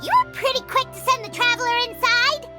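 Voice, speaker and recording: A woman voicing a small, excitable young girl in a game, in a very high, bubbly childlike voice, eager and chattering. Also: brisk. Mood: excited. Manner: eager, chattering, easily surprised. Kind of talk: game dialogue. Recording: game audio with loud music beneath.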